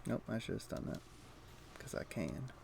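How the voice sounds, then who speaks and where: A man streaming a video game, thinking aloud in a low-key, conversational way with casual commentary. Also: very animated, normal speed, creaky, background noise. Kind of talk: casual live gameplay commentary and chat.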